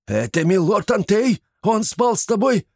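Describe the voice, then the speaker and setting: A man's voice: sing-song, a little slow, vocal fry, darkish tone, slightly rough, no echo, clean studio sound, full character voice. A man voicing a panicked, drunk old male moonshiner who wails and begs. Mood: panicked wailing. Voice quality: shrill, wailing.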